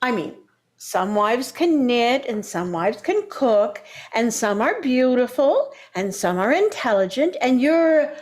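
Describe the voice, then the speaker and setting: A woman's voice: fairly high pitch, very animated, slow pace, darkish tone. An actress playing a condescending older mother-in-law, sugary and backhanded, in vintage radio sitcom style. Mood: patronizing.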